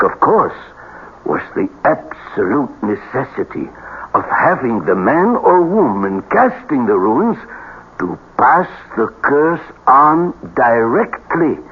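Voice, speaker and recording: Slow, ominous man of the occult. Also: medium pitch, slightly rough, exaggerated voice. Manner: deliberate, portentous. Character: ominous, deliberate male occult expert explaining curses. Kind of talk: radio drama acting. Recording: tinny old radio broadcast.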